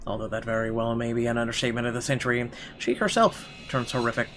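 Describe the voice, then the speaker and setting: A man's voice: mid-range voice, level tone, fast, a bit bright. Man reading horror fiction aloud over music. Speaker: gamer reading a visual novel aloud. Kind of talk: dramatic first-person narration reading.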